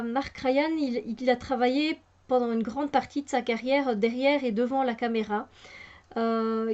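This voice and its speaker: A woman's voice: a little high, darkish tone. A woman reviewing a tarot deck in casual talk, hesitant with many fillers and restarts, and enthusiastic. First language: French. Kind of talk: casual spoken product review. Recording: muffled lo-fi home recording.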